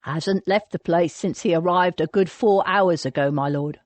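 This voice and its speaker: A woman's voice: on the low side, moderate pace, a little mellow, no room sound, lightly acted. A woman voicing a polite, wry male pub landlord who speaks deferentially in an audiobook. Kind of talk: audiobook character dialogue.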